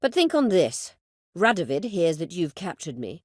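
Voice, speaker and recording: A proud, vengeful sorceress from a fantasy video game. Her voice sits fairly low and swings widely in pitch, recorded close and dry. She speaks in haughty, formal phrases edged with sardonic bite. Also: big pitch swings, a little mellow, breathy edge, dry recording. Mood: grand, emphatic. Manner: haughty, formal, measured, biting sarcasm. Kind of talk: video game character dialogue.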